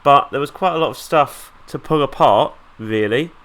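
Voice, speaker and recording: A man's voice: medium pitch, normal speed, a bit bright. Chatty man, nasal with the flu. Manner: chatty, dry sarcastic asides. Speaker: gaming YouTuber. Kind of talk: casual let's play commentary.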